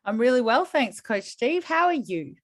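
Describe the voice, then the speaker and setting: A woman's voice: fairly high pitch, very animated, moderate pace. A woman, a fitness coach co-hosting a casual podcast, giving short encouraging replies.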